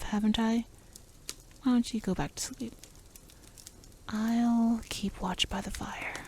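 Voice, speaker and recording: A woman's voice: mid-range voice, darkish tone, slight background noise. A woman playing a playful, cool-headed rogue in a fantasy roleplay ASMR, speaking in a teasing, intimate, half-whispered way. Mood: sly, calm.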